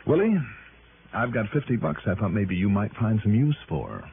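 A man's voice: on the low side, big pitch swings, moderate pace, creaky, slight background noise. A man playing a wry, world-weary, hard-boiled investigator narrating his case in an early 1960s radio drama. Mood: probing. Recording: muffled old radio broadcast, music.

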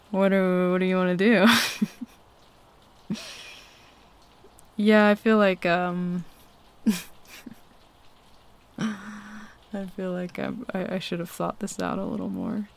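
Woman acting a flustered, slow-talking tomboy roommate, gravelly fry. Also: medium pitch, lively intonation. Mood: nervous, confessing. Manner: halting, hesitant, flustered with nervous chuckles. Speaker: ASMR roleplay performer. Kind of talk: ASMR roleplay, intimate one-sided dialogue. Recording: faint rain noise behind close voice. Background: rain falling.

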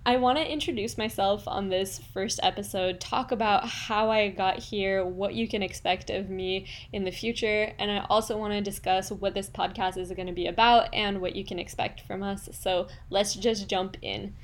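A woman from Quebec whose first language is French, hosting her podcast and telling her own story in a relaxed, personal way with fillers. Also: mid-range voice, moderate pace, few pauses, slight background noise. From Quebec, Canada. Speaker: podcast host. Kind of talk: casual solo podcast monologue.

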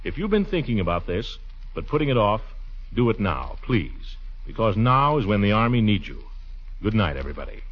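A man's voice: on the low side, big pitch swings, fairly quick, husky. A man making an earnest, direct public appeal in 1940s radio style. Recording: dull vintage 1940s radio recording.

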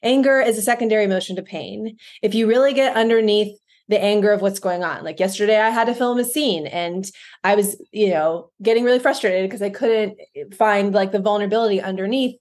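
A woman co-hosting a podcast, talking in an animated, emphatic way and often laughing. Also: medium pitch, a little fast. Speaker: podcast co-host. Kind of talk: casual podcast chat.

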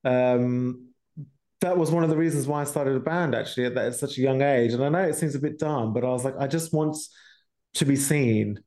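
A British man speaking candidly as a musician guest on a podcast, in a halting, reflective way. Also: mid-range voice, mellow.

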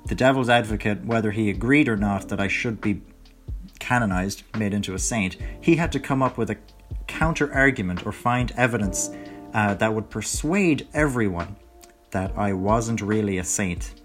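A young man hosting his own podcast, talking in a rambling, chatty way that corrects itself, his voice hoarse from a lot of talking. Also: on the low side, moderate pace, faint music behind. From Ireland. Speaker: podcast host. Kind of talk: casual solo podcast chat.

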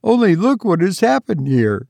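A man's voice: sits high, very animated, normal speed, creaky, darkish tone, no room sound, voice pushed up, full character voice. A man reading aloud and voicing a tearful, frightened middle-aged mother who sobs and pleads. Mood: sobbing plea. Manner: sobbing, pleading.

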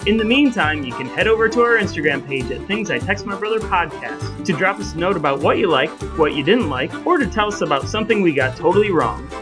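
Male podcast co-host, voice a bit high, jokey and mock-grand, clear music bed. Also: animated, fast, hardly any pauses. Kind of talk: casual chat with playful scripted sign-off.

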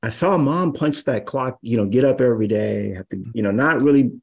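A man in his fifties, a guest on a podcast, telling stories in a relaxed, rambling way with many fillers. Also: mid-range voice, fairly quick, creaky, husky. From Los Angeles area, USA. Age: 50s. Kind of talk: casual storytelling interview.

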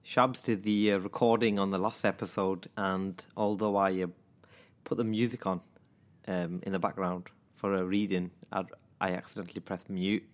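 Low-voiced Mancunian man hosting a podcast. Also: flat delivery, normal speed. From England. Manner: hesitant, lots of ums and restarts. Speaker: podcast co-host. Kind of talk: casual chat and scripted true-crime narration.

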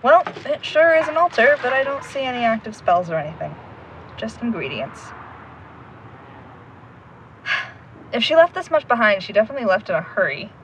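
Bossy young woman sleuth, high voice, lively intonation, wry, audio drama. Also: normal speed, pauses often, noisy background. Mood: brisk, focused. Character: bossy, brisk young woman leading amateur supernatural sleuths. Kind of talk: audio drama acting, naturalistic.

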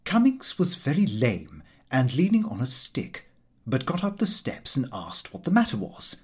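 A man's voice: medium pitch, huge pitch swings, moderate pace, slightly rough. A man narrating a comic diary novel as a scripted audiobook reading.

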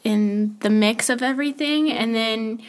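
A woman's voice: a little high, a little slow. A young woman co-hosting a casual faith-based podcast, talking earnestly and thinking aloud with small restarts. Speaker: podcast co-host.

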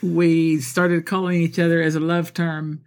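Chatty high-voiced man co-hosting a podcast. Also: flat delivery, measured pace, smooth. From born in Texas, raised in Colombia. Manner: chatty, laughs often, many fillers. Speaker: podcast co-host. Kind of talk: casual podcast chat.

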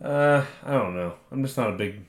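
A man's voice: medium pitch, expressive, moderate pace, crowd noise. Opinionated man in his thirties, roomy sound. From United States. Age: 30s. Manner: opinionated, blunt, swears casually. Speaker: podcast co-host. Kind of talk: casual chat. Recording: roomy echo.

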